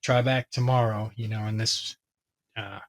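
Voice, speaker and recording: A man with a hoarse voice talking casually and ramblingly as he explains a tech topic to his viewers, with many fillers. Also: on the low side, little pitch movement, a little slow. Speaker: tech video host.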